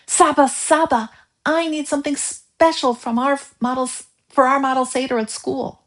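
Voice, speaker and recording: An eager young storybook boy, voiced by a woman, excited and urgent. The pitch is lifted high and the delivery is pushed along with keen energy. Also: normal speed, close to an everyday voice. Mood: urgent excitement.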